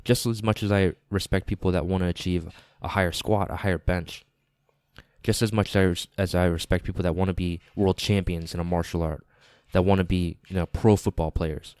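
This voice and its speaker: A man giving a casual, earnest podcast monologue, repeating phrases for emphasis, slightly raspy and nasal with congestion from a lingering cold. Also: low voice, fairly even intonation, a little fast, a little mellow, dry recording, clean studio sound. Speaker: podcast host. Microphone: close podcast microphone.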